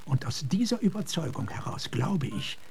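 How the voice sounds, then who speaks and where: An actor playing an earnest, philosophical gentleman officer in a German radio drama, speaking in a measured, reflective way. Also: fairly high pitch, sing-song, gravelly, slight background noise. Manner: measured, reflective, sometimes hushed.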